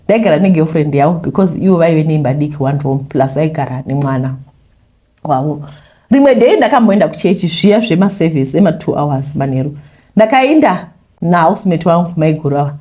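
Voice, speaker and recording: Zimbabwean lady reading out a confession story, talks quick, warm dark tone, chatty with bits of english mixed in. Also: low-pitched, expressive. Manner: conversational, mixes in English phrases. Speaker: YouTuber reading a listener's confession. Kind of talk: first-person confessional storytelling.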